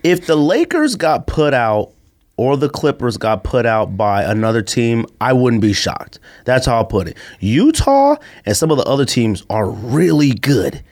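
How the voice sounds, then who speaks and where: Male podcast co-host, very lively intonation, casual sports argument, repeats points for emphasis. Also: medium pitch, unhurried.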